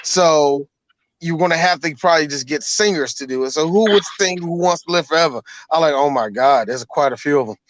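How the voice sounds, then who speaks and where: A man co-hosting a casual podcast over a call, excited and emphatic, swearing freely. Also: fairly high pitch, brisk. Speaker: podcast co-host. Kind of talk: casual chat.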